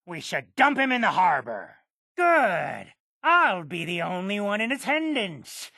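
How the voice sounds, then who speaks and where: A cantankerous old farmer from a video game. The voice is husky and crackles with fry, swinging widely in pitch as it grumbles. Delivery is slow, stubborn and full of resentment. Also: female voice, big pitch swings, bright, forceful tone, dry recording, studio quality, full character voice. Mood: indignant, bitter. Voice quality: raspy, creaky. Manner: indignant, grumbling. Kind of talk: video game character acting.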